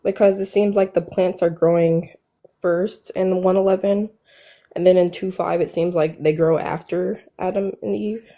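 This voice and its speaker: Soft-spoken young woman caller. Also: fairly low pitch, fairly even intonation, moderate pace. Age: 20s. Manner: soft, hesitant, youthful-sounding. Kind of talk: casual live stream conversation.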